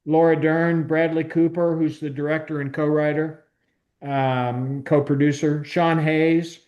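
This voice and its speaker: A man's voice: fairly high pitch, flat delivery, unhurried, a little mellow, muffled recording. A man giving informal film recommendations in a group, matter-of-fact and piecemeal. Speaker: film club member.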